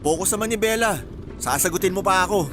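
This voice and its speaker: Guy acting as persistent lovestruck suitor, kinda high voice, jokey and flirty, radio drama w/ music. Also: fast, natural voice. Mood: flirty teasing. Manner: teasing, flirtatious, easygoing. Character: persistent, cheeky, smitten male suitor, hardworking rice hauler.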